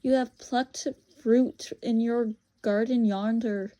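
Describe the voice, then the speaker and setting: Lady reader doing a proud evil storybook witch, high loud voice, bossy n scornful, grand old-fashioned talk. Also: steady tone, takes it slowly. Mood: knowing, insistent. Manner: commanding, scornful, grandly formal.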